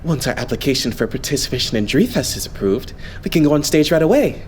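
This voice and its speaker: A man plays an earnest, devout young idol leading his unit. His intonation moves around a lot as he politely lays out plans with steady optimism, in anime dub style over faint noise. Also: medium pitch, close to an everyday voice. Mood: hopeful, reassuring. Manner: polite, explanatory, measured phrasing. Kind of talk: anime game fan dub acting.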